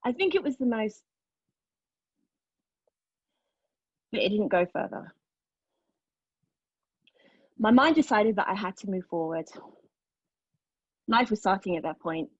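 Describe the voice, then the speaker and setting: A woman acting a wounded, defiant character in a monologue, candid and bitterly sardonic, with halting phrasing. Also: medium pitch, lively intonation, breathy edge, no room sound, lo-fi sound. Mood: reflective, sardonic. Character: wounded, defiant woman confessing a painful past. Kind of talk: dramatic monologue at a table read.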